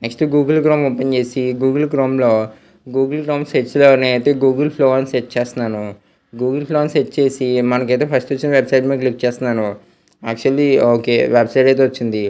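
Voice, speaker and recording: A man's voice: medium pitch, steady tone, a little fast, a little mellow. A man hosting a step-by-step tech tutorial in voice-over, mixing English tech terms into his speech.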